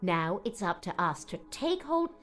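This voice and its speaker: A woman playing a kindly, motherly shop woman in an audiobook, speaking in a soothing, hushed way over music. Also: medium pitch, sing-song, a little slow. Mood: encouraging. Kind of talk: audiobook character dialogue. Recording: music under the voice.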